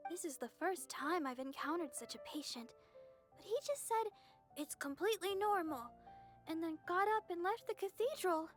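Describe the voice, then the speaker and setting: A woman voicing a sweet, earnest young female healer in a video game, gentle, polite and a little hesitant. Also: very high-pitched, expressive, normal speed, soft background music. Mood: gentle concern. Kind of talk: video game dialogue acting.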